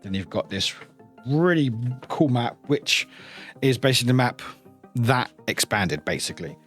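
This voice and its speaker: A man hosts an unboxing with an animated, rising and falling delivery at a middling pitch. His phrasing is loose and informal, like someone showing items to a friend, and he is openly appreciative. Faint music plays behind his voice. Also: moderate pace. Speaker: product reviewer, unboxing host. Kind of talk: casual show-and-tell commentary, sometimes reading blurbs aloud.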